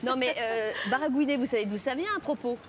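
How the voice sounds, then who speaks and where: A woman's voice: high-pitched, a little fast, crowd noise. A woman hosting a radio show in casual chat, commenting and asking questions. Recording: clearly noisy background.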